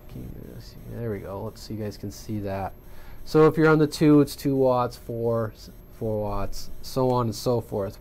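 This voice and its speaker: A man's voice: mid-range voice, a little mellow, slight background noise. Folksy middle-aged man at a radio bench. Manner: folksy, rambling, off the cuff. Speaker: CB radio channel host. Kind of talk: casual hands-on tutorial. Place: home radio workbench, indoors. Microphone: desk mic off camera.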